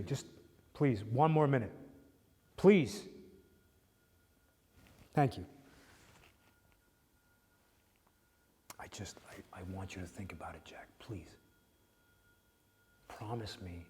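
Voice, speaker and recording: Actor as a raw, profane widower. Medium-pitched, halting, in a big reverberant space. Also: male voice, animated, mellow. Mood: hushed pleading. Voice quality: profane, halting with repeated restarts. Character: grieving widower, raw, blunt and tender. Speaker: widower speaking to a grieving listener. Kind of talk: emotional dramatic monologue.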